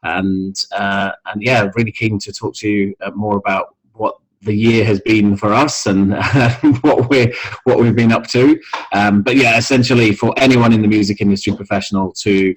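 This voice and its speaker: A man's voice: on the low side, steady tone, moderate pace, low-quality recording. A man from Scotland with a mild, mixed international accent, a charity head talking casually in an interview over a video call, with many ums and restarts. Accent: mixed international, mild Scottish. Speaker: charity CEO, interview guest.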